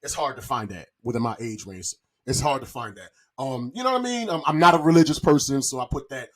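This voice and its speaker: A man's voice: medium pitch, animated, fast talker, a bit bright. A man in his forties chatting casually and telling personal stories, with profane, slangy talk and a habit of repeating himself. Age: 40s. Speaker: podcast guest. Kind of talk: casual chat, personal anecdotes.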